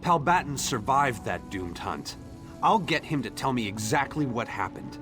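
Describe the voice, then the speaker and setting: A man's voice: medium pitch, animated, normal speed, natural voice. A man voicing a brash, determined young treasure seeker in a fantasy video game, eager and self-assured. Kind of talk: AI-voiced fantasy game dialogue. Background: game music.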